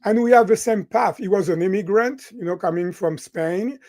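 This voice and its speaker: A man in his sixties from France with a French accent, a guest in an interview, talking in an animated way and often ending sentences with "right?". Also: sits high, moderate pace, no echo, clean studio sound. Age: 60s. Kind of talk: interview conversation.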